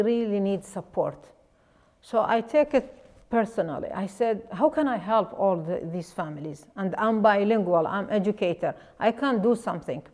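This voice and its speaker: A woman's voice: mid-range voice, darkish tone. Earnest Iraqi-accented woman telling her story. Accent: Iraqi Arabic. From Iraq. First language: Arabic. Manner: earnest, pausing often with fillers and restarts. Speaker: educator and life coach. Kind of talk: personal story talk.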